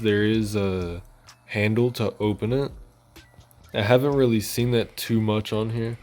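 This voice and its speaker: A man with a fairly low voice talks over music in a casual gaming-channel style. He sounds informal and colloquial, rambling loosely as he goes through updates as the host. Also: a little mellow. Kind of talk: casual gameplay news commentary. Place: voice-over on gameplay footage.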